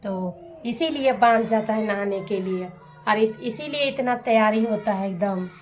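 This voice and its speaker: A young woman, hoarse from a sore throat, chatting candidly in a village vlog while she cooks. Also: mid-range voice, a little slow, echoey room, faint music behind. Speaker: vlogger. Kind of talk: casual vlog narration while cooking. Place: village home kitchen.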